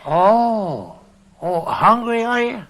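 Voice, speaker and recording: A sly old country uncle, in a radio mystery play. His voice is bright and fairly high, rambling along in a folksy, jovial way while he bosses everyone around. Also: animated, very slow, exaggerated voice. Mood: miserly, folksy. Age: older. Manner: folksy, rambling, chuckling hard bargainer. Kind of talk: radio mystery drama acting.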